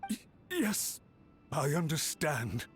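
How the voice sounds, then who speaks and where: An actor playing a timid, submissive male servant in a video game, halting and deferential. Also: fairly high pitch, very animated, soft background music. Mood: cowed. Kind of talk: dramatic video game dialogue.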